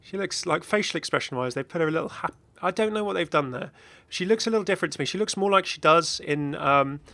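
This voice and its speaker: A man hosting a gaming video with casual, excited reaction commentary in a rambling, exclamatory way. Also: on the high side, fast, creaky. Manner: rambling, exclamatory, chuckling asides.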